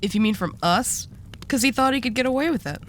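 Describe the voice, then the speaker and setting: A woman's voice: on the high side, lively intonation, fast talker, a little hiss or hum, close to an everyday voice. A woman playing a commanding yet haunted, sardonic female mafia boss in an audio roleplay. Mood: sardonic, serious.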